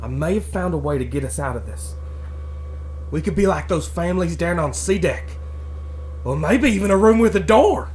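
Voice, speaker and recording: A man's voice: on the high side, expressive, normal speed, darkish tone, a little hiss or hum, natural voice. A man playing an earnest, lovestruck, downtrodden worker in an audio drama, hesitant and eager as he talks. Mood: hopeful, eager. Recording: music bed behind the voice.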